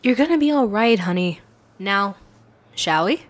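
A woman's voice: medium pitch, animated, measured pace. A woman performing a girlfriend roleplay for listeners, coaxing and affectionate. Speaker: ASMR roleplay creator.

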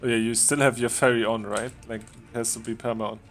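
A man casually chatting with a friend while they play a game together, relaxed and joking, laughing now and then. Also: mid-range voice, fast talker, faint music behind. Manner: relaxed, joking, chuckles often. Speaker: game streamer. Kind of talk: casual gaming chat with a friend.

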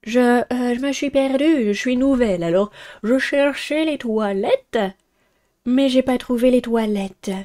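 A woman's voice: a little high, a little slow, mellow, lightly acted. A woman voicing an eager, inquisitive teenage schoolgirl heroine in a dating game. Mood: flustered.

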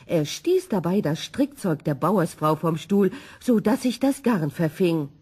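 A woman reading a children's story in an expressive, scripted narrating style. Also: fairly low pitch, a little slow, breathy edge.

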